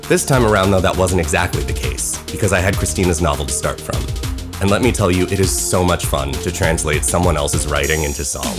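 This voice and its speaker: A man hosting his own podcast, talking candidly and quickly in a soothing radio voice. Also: low-pitched, loud music behind the voice. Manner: fast-talking, conversational, candid. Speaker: podcast host. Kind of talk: personal podcast narration.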